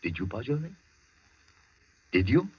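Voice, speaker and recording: An actor playing a well-mannered, loyal and flustered gentleman, hesitant and stammering, in 1940s radio style. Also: fairly low pitch, sing-song, measured pace, breathy edge, heavily acted. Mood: pleading. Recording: dull vintage 1940s radio recording.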